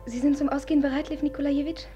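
A woman acts out a young noblewoman in a classic radio play, her voice high and proud. She speaks formally and commandingly, with agitated accusation under the polish. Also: steady tone, rapid-fire, warm tone, soft background music, lightly acted. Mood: determined, commanding. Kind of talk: classic German radio drama acting.

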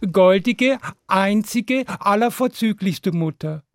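A man voicing hungry little children in a storybook tale, coaxing and singsong as they flatter their mother. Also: high voice, slow, no room sound, clean studio sound, pitched above the performer's own voice, full character voice. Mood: pleading.

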